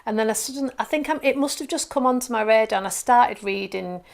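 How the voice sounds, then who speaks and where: Creaky-voiced woman in her fifties. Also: a little high, fast talker. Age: 50s. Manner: conversational, freely agrees with her co-host. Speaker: co-author and workshop host. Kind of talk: casual live-stream chat.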